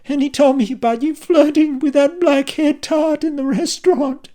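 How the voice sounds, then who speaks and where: A man voicing a young wife who angrily accuses her husband in a raised, falsetto-like voice. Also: takes it slowly, a little mellow. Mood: accusing. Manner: high-pitched, accusing, sarcastic.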